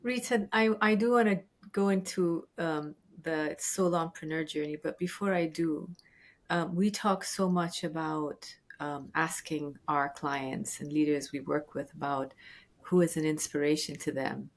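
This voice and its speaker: A woman's voice: sits low, fairly even intonation, a little slow. A woman hosting a leadership podcast, asking curious, reflective questions and often restarting her sentences as she thinks. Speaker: podcast host. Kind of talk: interview conversation.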